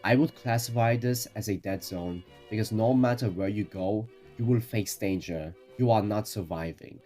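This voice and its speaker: Low-voiced man narrating over music. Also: moderate pace, warm tone. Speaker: narrator of a ranking video. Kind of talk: scripted explainer narration. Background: background music.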